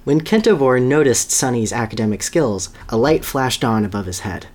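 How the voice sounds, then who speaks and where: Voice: mid-range voice, expressive, normal speed, a little mellow. A podcast host gives a dramatic reading of a story, with casual asides and an amused manner. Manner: amused, breaks into laughter while reading.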